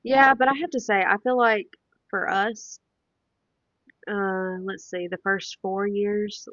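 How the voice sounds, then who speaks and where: A woman in her late twenties with a deep, raspy voice, sick and congested, chatting casually as a podcast co-host who thinks aloud. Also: fairly even intonation, slow, fairly bright tone, no echo, dull audio. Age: late 20s. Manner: chatty, thinks aloud while working things out. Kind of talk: casual chat. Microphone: close podcast mic.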